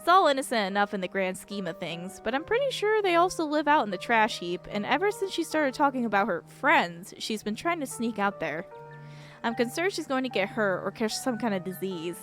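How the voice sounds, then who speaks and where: A woman voicing an earnest, devout young father from a visual novel, speaking in a measured, confiding way. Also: fairly high pitch, very animated, fairly bright tone, faint music behind. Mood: worried. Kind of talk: acted reading of visual novel dialogue.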